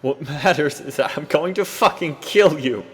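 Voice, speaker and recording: A furious young man screams through his words, his voice cracking high and strained, as a male narrator acts him out. The shouting rings in a reverberant space. Faint music plays underneath. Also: moderate pace, lightly acted. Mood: furious screaming. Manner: shouting, raw fury.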